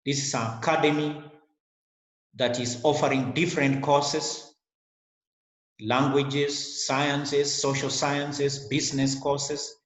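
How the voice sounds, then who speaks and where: A man's voice: mid-range voice, flat delivery, slow. A middle-aged man with a Kenyan English accent gives an online lecture to a webcam, speaking earnestly and repeating his words. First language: a Kenyan language. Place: small indoor room. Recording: echoey room.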